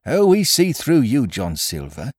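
A man puts on a mutinous pirate crewman for an adventure storybook. He is blunt, belligerent and accusing, his rustic sailor speech pushed up and loud with lively swings. The recording is dry. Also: mid-range voice, measured pace, no room sound, voice pushed up. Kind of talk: dramatised audiobook reading of a classic adventure story.

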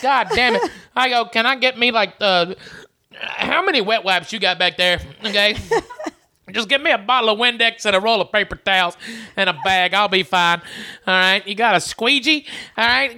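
A woman hosts a podcast with a bright, forceful, brassy voice. She swears constantly and spins wild exaggerations, laughing as she goes. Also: mid-range voice. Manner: profane, brassy, exaggerating, laughing through lines. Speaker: podcast host. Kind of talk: crude comic riffing.

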